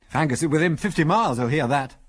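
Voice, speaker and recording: An impressionable young adventurer's sidekick, played by a male reader, full of exclamations. The delivery is very animated in pitch, with a husky grain, as in a storybook adventure. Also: quick pace. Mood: awestruck.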